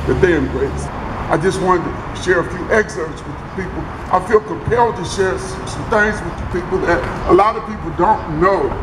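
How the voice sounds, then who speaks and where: A man giving an earnest, emphatic personal tribute to an audience, often repeating his words. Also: high-pitched. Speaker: guest speaker giving a tribute. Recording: echoey distant recording with clear background noise.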